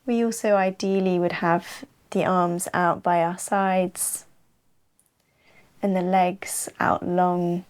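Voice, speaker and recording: A woman leading a guided yoga nidra relaxation as the teacher, in an unhurried, soothing way with long pauses. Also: lowish voice, level tone, a little mellow, clear, resonant tone. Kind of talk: slow guided relaxation narration.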